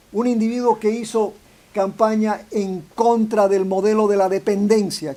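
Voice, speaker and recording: A man's voice: high voice, dark tone. A man speaking as a professor on a forum panel, hesitant, restarting phrases and tagging sentences with questions. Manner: hesitant, repeats words, adds tag questions. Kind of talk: forum talk on politics.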